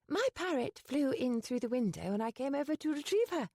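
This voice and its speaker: Brief: woman playing a flustered, earnest young lady, high and fluttery, lively swings, audiobook. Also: a little fast, no echo, clean studio sound, exaggerated voice.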